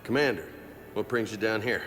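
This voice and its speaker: A man's voice: fairly low pitch, brisk, a bit bright, lightly acted. A man playing a brash, eager young soldier in a video game, talking casually with cocky but earnest confidence. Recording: faint music behind the voice.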